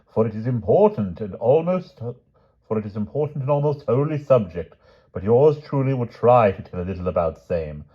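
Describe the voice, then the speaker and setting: A man's voice: fairly low pitch, animated, normal speed, slightly rough, dull audio, pitched above the performer's own voice. A man voicing a pompous foreign knight tutoring children, speaking in broken, stilted formal English. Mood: haughty.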